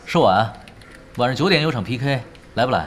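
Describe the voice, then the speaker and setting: A man's voice: medium pitch, expressive, quick pace, noisy background, close to an everyday voice. An actor playing a laid-back, dryly teasing male gamer who leads his team in a radio drama. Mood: casual. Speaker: voice actor, lead character. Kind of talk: Chinese radio drama dialogue. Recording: music bed behind voice.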